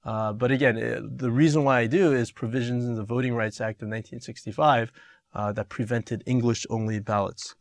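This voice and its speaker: Halting, filler-heavy man explaining an exam. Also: mid-range voice, fairly even intonation, no echo, studio quality. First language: Korean. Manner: halting, many fillers and restarts. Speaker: teacher. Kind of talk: exam review lesson.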